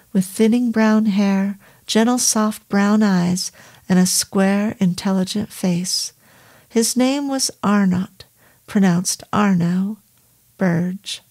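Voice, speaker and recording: A woman narrating a horror novel in a measured, even reading style. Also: mid-range voice. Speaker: audiobook narrator.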